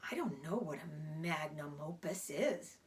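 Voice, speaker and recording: A woman's voice: sits low, lively intonation, unhurried, a little hiss or hum, voice pushed down. A woman voicing an eager, innocent young male pig in a children's storybook, earnest and full of curious questions. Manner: wide-eyed, earnest, questioning. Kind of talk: children's storybook read-aloud.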